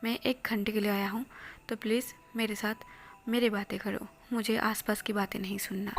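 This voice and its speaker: A woman's voice: mid-range voice, little pitch movement, moderate pace, slight background noise, natural voice. A woman whispering the lines of a possessive, jealous rich young man in a storybook tale. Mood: pleading. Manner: soft whispering, pleading then menacing.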